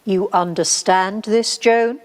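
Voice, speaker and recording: A woman's voice: medium pitch, fairly even intonation, slow pace, a little mellow, lightly acted. A woman playing a solemn, authoritative church judge in a radio play, with grave and formal delivery. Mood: stern. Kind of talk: radio play acting, formal archaic speech. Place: actor's home, live remote recording.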